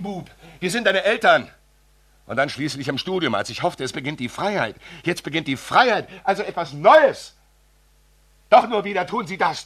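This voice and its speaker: A tormented young male diarist in a radio play. His voice is husky and leaps around in pitch as he declaims in halting, repetitive, theatrical bursts, agitated and intense. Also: fairly bright tone, exaggerated voice. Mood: desperate, fed up. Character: tormented young male amateur writer taping an audio diary. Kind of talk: dramatic monologue in an audio drama.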